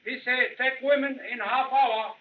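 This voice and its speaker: Casting: flat, extremely high-pitched henchman, broken English, curt threats, tinny hissy vintage radio. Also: a little slow, over a noisy crowd. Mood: flatly threatening. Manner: clipped broken English, flatly insistent. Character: male henchman of a general delivering curt threats. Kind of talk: old-time radio drama acting.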